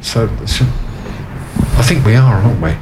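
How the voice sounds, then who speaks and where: A man's voice: medium pitch, lively intonation, moderate pace, very noisy. A man hosting a casual radio show from a venue studio, rambling and making puns. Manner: rambling, punning, chuckly. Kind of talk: casual on-air chat. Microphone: omnidirectional studio mics.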